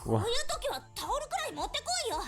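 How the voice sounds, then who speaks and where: A woman playing a short-tempered teenage delinquent schoolgirl in an anime visual novel, with rough, scornful, tomboyish speech. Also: extremely high pitch, huge pitch swings, rapid-fire, heavily acted. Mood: flustered, furious. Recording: game audio with music behind.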